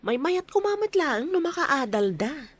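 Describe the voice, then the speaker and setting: A woman's voice: high voice, sing-song, unhurried. A woman playing an observant, chatty health worker in a radio drama, with a Filipino accent. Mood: curious.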